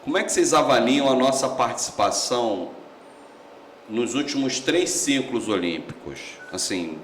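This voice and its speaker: Um homem apresentador de podcast, fazendo perguntas de acompanhamento de forma tranquila numa entrevista. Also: male voice, medium pitch, normal speed, roomy sound, a little hiss or hum. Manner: relaxed, asks follow-up questions. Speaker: podcast host. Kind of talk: interviewing.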